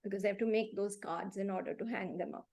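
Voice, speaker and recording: A middle-aged woman with an Indian accent gives conversational advice in a live online class, speaking in an emphatic, animated and opinionated way. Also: medium pitch, fast talker, crowd noise. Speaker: reading teacher and parenting expert. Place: online video call.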